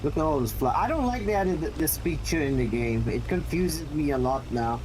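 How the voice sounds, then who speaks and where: A man's voice: fairly high pitch, expressive, a little fast, background noise. A man with a Filipino accent, raised in the Philippines, casually chatting and swearing as he narrates his tank gameplay. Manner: chatty, profane, thinking aloud while playing. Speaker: streamer.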